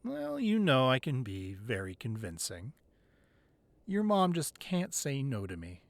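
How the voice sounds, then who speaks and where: A man acts out an affectionate boyfriend who doubles as a hardened crime boss in an intimate audio roleplay. His mid-pitched voice moves freely up and down, conversational and able to turn from playful to commanding. The sound is close and very dry. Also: huge pitch swings, unhurried, creaky, no echo, exaggerated voice. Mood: playful, amused. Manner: conversational, playful with partner, curt and commanding in business. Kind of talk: intimate ASMR roleplay acting.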